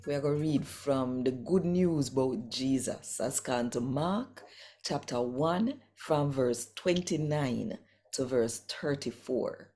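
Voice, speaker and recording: A woman with a Jamaican accent reads in a low, mellow voice. She takes her time, telling the passage like a devotional story, deliberate and expressive. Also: soft background music. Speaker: host and scripture reader. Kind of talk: devotional reading and channel greeting.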